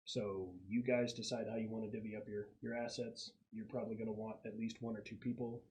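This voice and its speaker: A man's voice: lowish voice, flat delivery, brisk, darkish tone, close to an everyday voice. A man playing a plain-spoken military superior briefing a squad of marines in a tabletop game. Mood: emphatic briefing.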